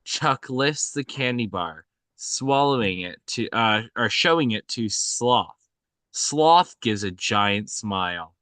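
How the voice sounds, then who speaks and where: A man narrates stage directions for a script table read. His voice is bright and dry, and he reads slowly and haltingly, often correcting himself. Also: on the low side, animated, smooth, no echo.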